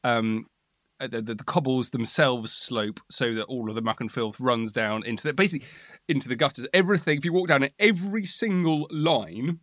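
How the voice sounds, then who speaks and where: Man, mid-pitch, casual co-host, stammers and restarts while thinking aloud, dry close sound. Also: brisk, a bit bright, no echo. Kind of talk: casual conversational chat.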